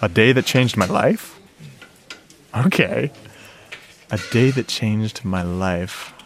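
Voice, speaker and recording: Chatty, enthusiastic radio audio geek. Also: male voice, lowish voice, slow pace, slight background noise. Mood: casual, eager. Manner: casual, explanatory, rhetorical questions to listener. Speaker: actor in a radio drama. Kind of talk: conversational radio drama monologue.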